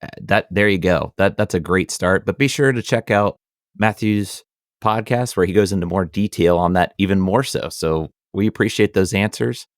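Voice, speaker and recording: A man's voice: deep voice, normal speed, dry recording, clean studio sound. A man co-hosting a podcast, asking questions in a casual, friendly way with short agreeing murmurs. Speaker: podcast co-host.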